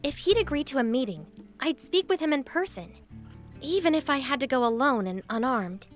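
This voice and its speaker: A woman's voice: high voice, big pitch swings, brisk, faint music behind. A woman playing an earnest, idealistic young woman in a video game, sincere and brave. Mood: earnest, thoughtful. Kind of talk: video game cutscene acting.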